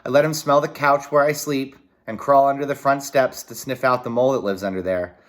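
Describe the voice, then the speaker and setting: A man reading a children's novel aloud in a folksy, expressive way, with short explanatory asides. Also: medium pitch, a little fast. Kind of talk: read-aloud narration with brief explanatory asides.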